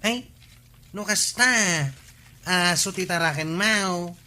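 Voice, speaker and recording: A man's voice: high-pitched, slow, sharp tone, exaggerated voice. An actor with an Ilocano accent playing a grumpy, scolding village man in a comedy drama.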